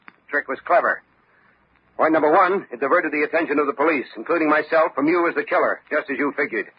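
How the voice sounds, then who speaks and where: An actor playing a shrewd, unflappable police lieutenant in a radio detective drama, speaking methodically and with confidence. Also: male voice, a little high, quick pace, a little hiss or hum, natural voice. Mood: coolly accusing. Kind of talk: old-time radio detective drama acting. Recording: dull vintage radio recording.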